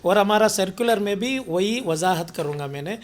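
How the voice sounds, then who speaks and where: High-pitched man, halting explanations. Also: animated. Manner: halting, repetitive, mixes in English words. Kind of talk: explanatory interview answers.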